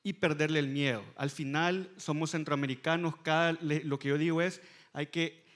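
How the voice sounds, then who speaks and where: A man's voice: on the high side, fairly even intonation, moderate pace, a bit bright. A Salvadoran man speaking as a fintech panelist on a conference stage, answering frankly and with some self-deprecation.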